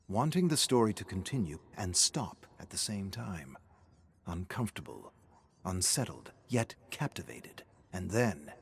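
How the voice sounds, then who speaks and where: A man narrating a scripted dark horror story in a measured, dramatic way, with deliberate pauses and phrases that sink toward a hush. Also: low-pitched, slight background noise.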